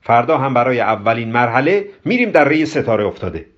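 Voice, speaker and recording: Male narrator as jovial bossy man, loud, swooping pitch, raspy, audiobook character, dull recording. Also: very animated, close to an everyday voice. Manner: loud, emphatic, swings into exaggerated formality. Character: boisterous, bossy, jovial man, self-appointed spiritual guide. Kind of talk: acted audiobook storytelling.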